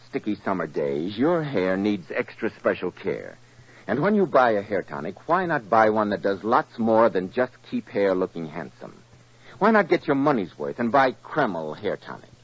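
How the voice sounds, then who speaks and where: An American man announcing in polished, emphatic 1940s radio sales style. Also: medium pitch. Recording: muffled 1940s radio recording.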